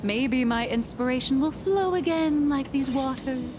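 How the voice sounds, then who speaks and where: A woman's voice: high-pitched, a little slow, noisy background. A woman voicing a whimsical, dramatic young comic artist in a video game, airy and theatrical. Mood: dreamy, musing. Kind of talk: game story voice acting.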